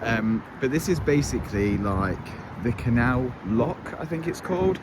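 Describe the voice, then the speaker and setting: A man's voice: mid-range voice, expressive, unhurried. Guy in his 30s vlogging outside by a canal, mid voice, heavy wind noise. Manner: chatty, commenting on the scenery. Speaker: vlogger host. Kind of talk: casual walk-and-talk vlog. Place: outdoors by a flooded canal. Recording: outdoor recording with loud wind noise.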